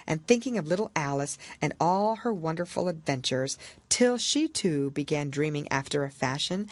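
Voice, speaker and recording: A woman narrating a children's classic as an audiobook, in a measured, expressive storytelling manner. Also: lowish voice, dry recording. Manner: expressive, measured literary reading.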